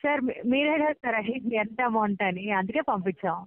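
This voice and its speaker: A woman working as a loan recovery agent on a phone call, polite and persistent but halting. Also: on the high side, expressive, dry recording. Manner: polite, persistent, halting, repeatedly says sir. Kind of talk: debt collection phone call. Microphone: phone line. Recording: thin, band-limited phone call recording.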